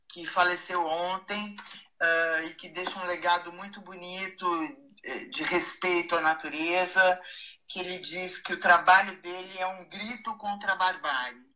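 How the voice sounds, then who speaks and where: A woman's voice: fairly low pitch, steady tone, unhurried, bright, forceful tone, low-quality recording. A woman giving informal, outspoken commentary as a guest on a casual talk show.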